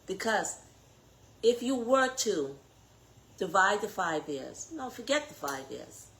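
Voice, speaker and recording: Woman, Bahamian accent, medium pitch, fiery political speaker, emphatic, challenging, rhetorical questioning. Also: sing-song, takes it slowly, fairly bright tone, poor audio. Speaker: political candidate. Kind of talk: impassioned political address.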